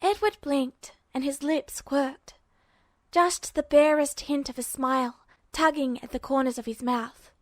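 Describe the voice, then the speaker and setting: A woman's voice: sits high, unhurried, no room sound. A woman narrating a story from a script as an audiobook-style reader. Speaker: podfic narrator.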